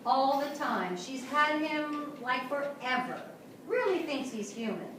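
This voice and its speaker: An anxious, high-voiced mother played by a woman in a stage reading, emphatic and theatrical. The pitch swings a lot, in a very echoey, noisy theatre. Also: sing-song, unhurried, distant mic. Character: Cynthia, anxious divorced mother of missing daughter. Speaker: actor in reader's theatre. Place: small theatre stage before an audience.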